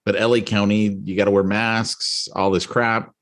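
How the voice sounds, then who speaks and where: A middle-aged man co-hosting a podcast, telling a story in a rambling, animated way with many restarts and asides. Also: on the low side, normal speed. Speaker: podcast co-host. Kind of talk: casual storytelling chat.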